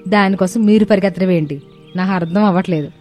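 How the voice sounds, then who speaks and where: A woman's voice: mid-range voice, fast, a little mellow, soft background music, natural voice. A woman acting a scornful, grumbling mother-in-law. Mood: irritated, scornful. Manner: sarcastic, irritated. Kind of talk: dramatized moral story cartoon.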